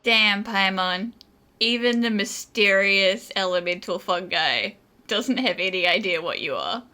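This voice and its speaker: A woman's voice: medium pitch, a little slow, pushed and bright. A woman giving casual, amused commentary while playing a video game. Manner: laughs often, amused reactions. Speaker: gamer commentating on playthrough.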